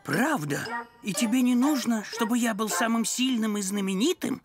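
A cartoon dog father who loves to boast to his little one. His delivery swings widely up and down, earnest and fond. Music plays clearly underneath. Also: very high voice, lively intonation, normal speed. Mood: tender, eager. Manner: boastful, earnest storytelling to a small child. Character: bragging, tender cartoon dog father playing superhero. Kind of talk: animated children's cartoon acting.

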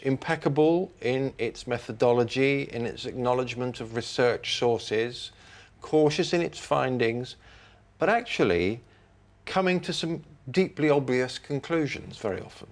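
A man giving a considered review on a panel in formal, articulate, measured phrases. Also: mid-range voice. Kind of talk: considered spoken book review.

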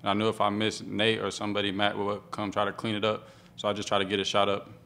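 A young man, a college basketball player, answering press questions after a game in a quick, laid-back way. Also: lowish voice, monotone, gravelly, bright, forceful tone. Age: young adult. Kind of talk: postgame press conference answers. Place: press room in front of a sponsor backdrop. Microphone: table microphone.

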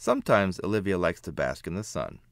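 A man reading a children's picture book aloud with expressive, emphatic phrasing. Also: sits low, brisk, vocal fry, no echo. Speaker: children's book reader, narrator. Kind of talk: read-aloud storybook narration.

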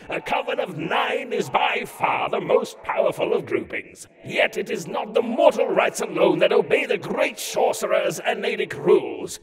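A man's voice: high voice, recorded from a distance, heavily acted. A man playing a pedantic, grandiose daemon sorcerer in a comic dub, declaiming at great length. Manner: verbose, declamatory.

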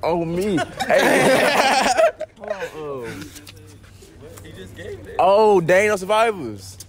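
A young man bantering outdoors on a college campus, excitable and laughing. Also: sits high, drawn-out words, crowd noise. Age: young adult.

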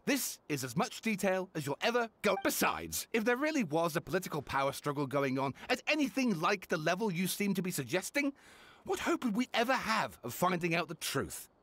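A man's voice: high voice, sing-song, fairly bright tone, full character voice. A man voicing a scholarly author who explains his research patiently. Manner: measured, explanatory. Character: scholarly older male author, earnest.

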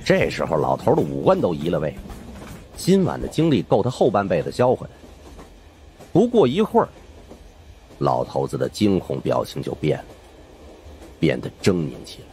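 A man's voice: low voice, darkish tone, slight background noise. A man narrating a scripted first-person audiobook story in a colloquial storyteller's manner.

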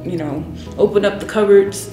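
A woman's voice: medium pitch, moderate pace, dark tone, faint music behind. A middle-aged woman from Rhode Island, a singer chatting as an interview guest, with lots of ums and laughs. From Rhode Island, USA. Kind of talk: casual interview chat. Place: hotel room, indoors. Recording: roomy hotel room echo.

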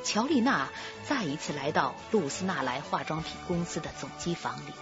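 A woman's voice: deep voice, big pitch swings, normal speed. A woman narrating a crime drama with dramatic, scripted delivery. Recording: slightly dull recording over music. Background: music.

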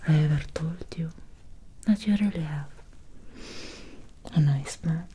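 A young woman playing a courteous, faintly menacing Victorian vampire doctor in a breathy whispered ASMR roleplay, speaking formally and deliberately. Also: low-pitched, dark tone, slight background noise. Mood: soothing, condescending. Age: young adult. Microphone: close sensitive microphone.

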